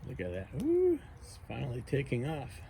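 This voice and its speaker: A man in his forties from the United States, chatting casually outdoors by a fire pit in a soft, rambling way with many fillers. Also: medium pitch, huge pitch swings, normal speed, vocal fry, a little hiss or hum. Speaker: outdoor vlogger. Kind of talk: casual rambling chat to camera.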